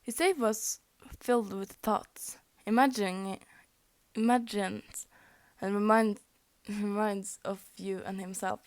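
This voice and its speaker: Norwegian-accented woman reader, halting fanfic reading, mid pitch, dry close sound. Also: fairly even intonation, no room sound. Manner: halting, stumbles over words and rereads.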